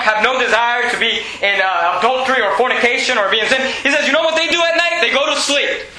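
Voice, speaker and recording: A man in his twenties preaching an impassioned sermon with scripture readings, speaking in an emphatic, repetitive way that often pushes toward shouting. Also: very high voice. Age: 20s. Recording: echoey room with faint noise.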